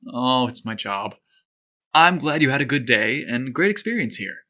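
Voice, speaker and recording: A flustered, eager young clerk behind a golf shop counter, put on by a male narrator. His bright voice leaps upward when he gets excited or nervous. He sounds like he is trying hard to stay calm. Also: moderate pace. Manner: flustered, excitable, voice jumping high.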